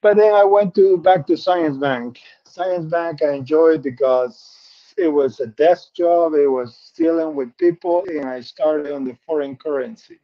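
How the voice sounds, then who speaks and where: A middle-aged man from Peru with a Peruvian Spanish accent talks through his life story in English, his second language. His voice sits slightly high, and he speaks earnestly with frequent restarts. The audio is muffled and lo-fi. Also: measured pace. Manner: earnest, repeats words and restarts often. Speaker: guest. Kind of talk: personal life story interview.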